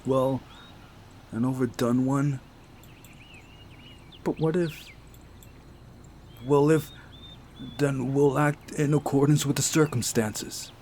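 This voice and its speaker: A man's voice: mid-range voice, fairly even intonation, slow pace, long pauses, a little mellow, a little hiss or hum, voice pushed up. Man acting impatient young guy, raised voice. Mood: uneasy. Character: bewildered young man, impatient visual novel protagonist. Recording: music behind the voice.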